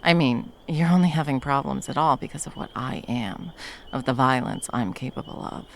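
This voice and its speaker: A woman playing a protective, tender monster hunter in an intimate ASMR roleplay, speaking haltingly and breathily, often dropping toward a whisper. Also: extremely low pitch, a little hiss or hum. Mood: tender, hesitant. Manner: halting, coaxing, speaking to a beloved. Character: wandering female monster hunter, fiercely protective, tender lover.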